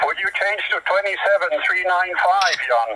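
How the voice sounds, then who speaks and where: A man chatting casually as a radio operator, effusive but halting in the way he talks. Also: high-pitched, background noise.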